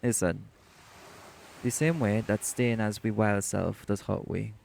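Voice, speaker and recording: Male actor as teasing shapeshifter boyfriend for audio roleplay, Caribbean accent, lowish creaky voice, emphatic and encouraging. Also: steady tone, measured pace, a little mellow, a little hiss or hum. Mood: earnest, encouraging. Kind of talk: romantic audio roleplay acting.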